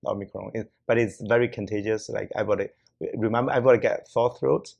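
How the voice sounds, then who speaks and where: A man's voice: on the low side, fairly even intonation, fast, gravelly, darkish tone, husky, lo-fi sound. A man hosting a casual podcast chat, talking in loose, non-native English with lots of laughs and restarts. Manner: chatty, laughs often, frequent "yeah" and restarts. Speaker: podcast host.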